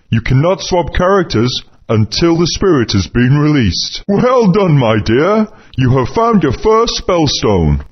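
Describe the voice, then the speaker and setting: A man voicing a kindly guiding mentor who explains and encourages, with a husky voice. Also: fairly high pitch, full character voice. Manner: instructive, encouraging. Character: kindly guiding spirit, male, patient mentor. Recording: muffled lo-fi game audio.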